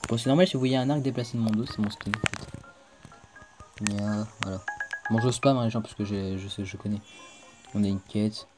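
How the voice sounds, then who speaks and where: A man's voice: on the low side, fairly even intonation, moderate pace, pauses often, music in the background. A man hosting a casual let's play video, chatting to viewers as he plays, with a stuffed-up cold. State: congested with a cold. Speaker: gaming video host.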